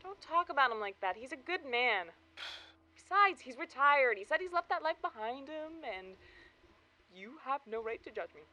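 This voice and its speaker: Casting: woman playing vulnerable, defensive young woman for audio drama, high voice, very expressive and urgent. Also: normal speed. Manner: emotional, urgent.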